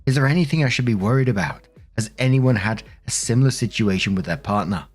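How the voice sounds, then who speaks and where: A man's voice: medium pitch, animated, fast talker, breathy edge, faint music behind. A man reading online stories aloud in a chatty, conversational way, with casual commentary as the host.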